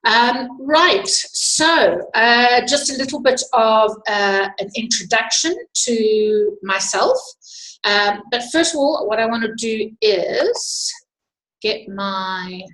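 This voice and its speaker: A woman's voice: on the high side, takes it slowly, a bit bright. A middle-aged woman with a South African accent, presenting informally as the host of an online webinar. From Zimbabwe and South Africa. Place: indoors at a computer, online webinar. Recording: echoey room on computer microphone.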